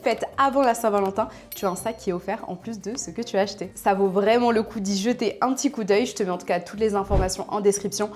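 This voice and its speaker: A woman's voice: medium pitch, fast, hardly any pauses, a little mellow. A woman giving a scripted intro and sponsor read with an emphatic, salesy delivery. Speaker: presenter of intro and sponsor segment.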